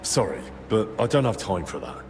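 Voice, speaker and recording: An actor playing a plain-spoken, persistent young adventurer in a video game, with a frank, wry and informal manner. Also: male voice, on the low side, big pitch swings, brisk. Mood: persuading. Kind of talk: video game cutscene acting. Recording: reverberant, clear music behind.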